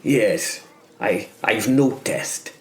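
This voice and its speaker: A man's voice: lowish voice, very slow, pitched above the performer's own voice. A man voicing a young medieval knight in an audio drama, wry and understated, stammering politely. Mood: sheepish, dry.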